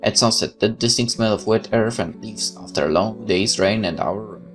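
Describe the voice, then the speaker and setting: A man's voice: fairly low pitch, normal speed, faint music behind. A man reading a horror visual novel aloud as a let's player, with casual commentary of his own.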